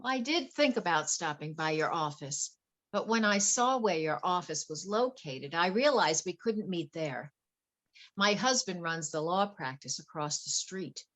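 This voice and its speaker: A woman's voice: on the low side, expressive, measured pace, fairly bright tone. A woman playing a wealthy, anxious wife in a play reading, earnest, polite and flustered. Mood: nervous, apologetic. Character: wealthy, anxious wife confiding fears about her husband.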